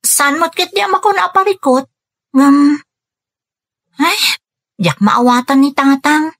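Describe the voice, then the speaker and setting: A woman's voice: high-pitched, takes it slowly, a bit bright, heavily acted. A woman playing a troubled young daughter working overseas, in a radio drama with a Filipino accent. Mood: troubled, complaining. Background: music behind the voice.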